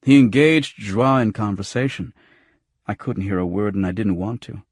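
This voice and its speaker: A man's voice: fairly low pitch, moderate pace, slightly rough, dry recording, studio quality. A man narrating scripted first-person noir fiction as an audiobook.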